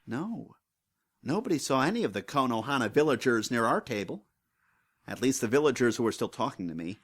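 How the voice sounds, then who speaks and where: A woman's voice: very deep voice, animated, fairly quick. A woman voicing a hapless, apologetic young male farmer in a hobby radio play, speaking in a hesitant, sheepish way. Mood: downcast, sheepish.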